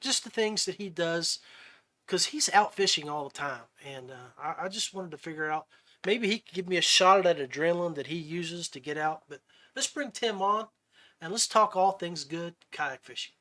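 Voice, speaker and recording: High-voiced man hosting a podcast. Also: moderate pace, pushed and bright. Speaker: podcast host. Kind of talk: casual interview chat.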